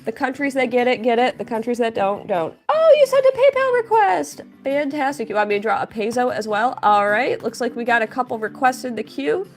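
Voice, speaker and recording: A woman's voice: fairly high pitch, big pitch swings, fairly quick, hardly any pauses, soft background music. A young woman livestreaming her drawing, chatting casually and upbeat, thanking viewers and reading their messages aloud. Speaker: livestreaming artist.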